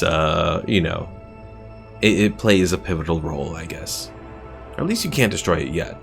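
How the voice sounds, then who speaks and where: A man with a deep, gravelly voice talks casually through a strategy game stream. He rambles, reads viewer messages and lore text aloud. Soft game music sits faintly behind him. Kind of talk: casual gameplay commentary.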